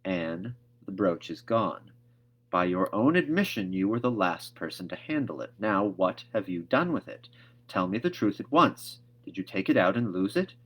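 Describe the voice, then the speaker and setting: A man's voice: sits low, expressive, moderate pace, breathy edge, muffled recording. A man voicing a stern, no-nonsense woman guardian in a storybook, with a firm, scolding manner. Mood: sharp, accusing.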